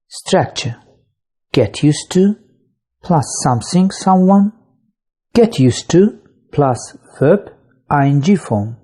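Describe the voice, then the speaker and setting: Slow, husky grammar-lesson narrator. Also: medium pitch, mellow. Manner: slow, deliberate reading of examples. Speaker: English teacher.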